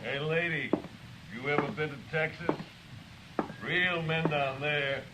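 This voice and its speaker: An actor playing a swaggering, bullying Texas cowboy with a cocky, taunting drawl in a 1950s radio western. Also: male voice, a little high, steady tone, takes it slowly. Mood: mocking. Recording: very noisy old radio recording.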